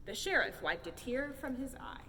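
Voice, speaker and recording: High, lively woman reading a storybook. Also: normal speed. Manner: theatrical, expressive. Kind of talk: animated children's picture book read-aloud. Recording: roomy hall, distant mic, faint noise.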